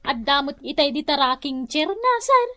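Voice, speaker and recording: A young housemaid pining for her master, acted by a woman in an Ilocano radio comedy drama. Her voice is extremely high and recorded dry, with an Ilocano Filipino accent. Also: big pitch swings, normal speed, pushed and bright, no echo, full character voice. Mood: curious, bright.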